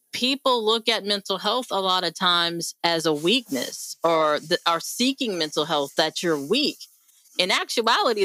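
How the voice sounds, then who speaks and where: A woman's voice: lowish voice, bright, forceful tone, no room sound, rough recording. A woman hosting a radio panel discussion, speaking in an encouraging, conversational and emphatic way. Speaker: host; mental health professional.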